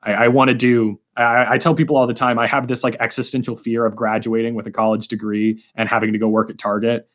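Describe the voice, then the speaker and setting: A man's voice: medium pitch, flat delivery, quick pace, hardly any pauses, a bit bright. A man in his early twenties hosting a podcast in a casual, informal, rambling way. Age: early 20s. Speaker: podcast host.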